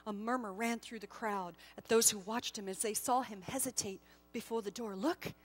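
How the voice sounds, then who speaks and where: Woman storyteller, high voice, solemn dramatic reading, some music behind her. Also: fairly even intonation, moderate pace. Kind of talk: dramatic scripted story narration.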